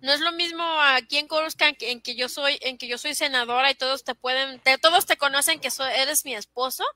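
A Mexican woman with a high, bright voice chatting with fellow fans over a video call. The sound is dry and close. She talks with enthusiasm and agrees emphatically. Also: brisk, no echo. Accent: Mexican Spanish. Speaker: podcast co-host. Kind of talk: casual fan chat over video call.

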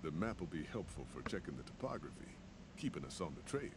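Gruff caravan boss in a game, real deep voice, lively delivery, noise under it. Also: male voice, fast talker. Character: gruff middle-aged male caravan master. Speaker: video game character.

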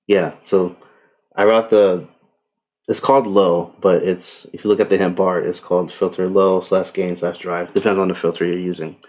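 A man giving a casual software tutorial in a relaxed, unhurried way with tentative asides. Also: low voice. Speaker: tutorial presenter. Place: indoors, voice-over on screen recording.

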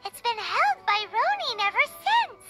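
Tiny squeaky fretful fairy girl. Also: sing-song, unhurried, loud music behind the voice, heavily acted. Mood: curious, eager. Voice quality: squeaky, childlike. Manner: refers to herself in third person, exclaiming. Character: small fretful childlike female fairy companion. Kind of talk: video game voice acting.

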